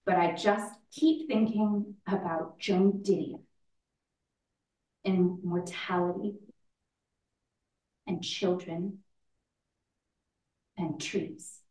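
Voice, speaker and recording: Woman acting a grieving mom in a play reading, slow careful delivery w pauses, roomy far off mic. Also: medium pitch, close to an everyday voice. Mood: grieving, searching. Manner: slow, deliberate, with long pauses. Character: grieving mother eulogizing her daughter, halting and reflective. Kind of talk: staged play reading, dramatic monologue. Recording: roomy, distant mic in echoey space.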